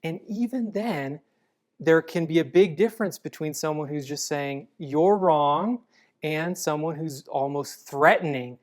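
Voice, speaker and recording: A man answering interview questions about apologetics in a calm, measured, explanatory way. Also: on the high side. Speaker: apologetics guest.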